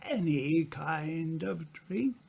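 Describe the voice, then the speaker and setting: A man playing a sinister, gloating undying author in an old-time radio play, slow and insinuating in his theatrical delivery. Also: fairly high pitch, expressive, creaky, very noisy, voice pushed down, full character voice. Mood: eerie, coaxing.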